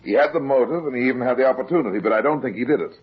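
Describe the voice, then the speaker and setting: An actor playing a shrewd, steady private detective, terse and insistent, in a 1950s radio drama. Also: male voice, medium pitch, racing, husky. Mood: calm, insistent. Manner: terse, hard-boiled, insistent. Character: shrewd, steady male private detective protecting his clients. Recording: dull, muffled vintage 1950s radio recording.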